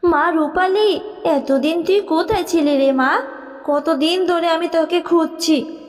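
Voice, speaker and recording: A loving fairy mother from a cartoon, protective and tender in her speech, with a woman acting her out. Her voice is high for a woman, soft and motherly. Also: unhurried, big-room sound, soft background music.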